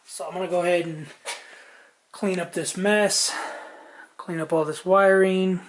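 A man, casually thinking aloud lots of ums and soft muttering while he tests wiring outdoors. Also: high-pitched, fairly even intonation, measured pace, a little hiss or hum. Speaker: vlogger. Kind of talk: casual hands-on narration while testing a tractor's wiring. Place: outdoor yard and garage workshop.

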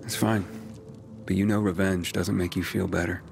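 A man's voice: deep voice, little pitch movement, moderate pace, gravelly, over music. A man playing an earnest, reserved young wanderer in a video game, talking in a hesitant, understated way. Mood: gentle, concerned. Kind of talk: low-key cinematic game dialogue.

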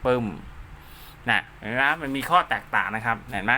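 A man's voice: mid-range voice, fairly quick, pushed and bright, a little hiss or hum. A man hosting an informal DIY tutorial, talking casually as he works, repeating himself and dropping in little spoken sound effects. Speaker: host of a DIY radio repair channel. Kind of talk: informal hands-on tutorial, talking while drawing diagrams.

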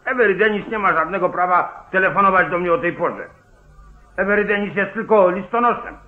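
An actor playing a weary, grumbling small-town sheriff in a 1940s radio play. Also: male voice, sits high, fairly quick, close to an everyday voice. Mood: irritated. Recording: dull, lo-fi old radio recording.

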